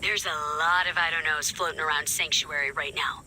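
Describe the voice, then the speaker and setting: A woman's voice: sits low, steady tone, a little fast, pushed and bright, a little hiss or hum, low-quality recording. A woman voicing an earnest, resolute resistance fighter in a video game, speaking sincerely and with emphasis. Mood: frustrated.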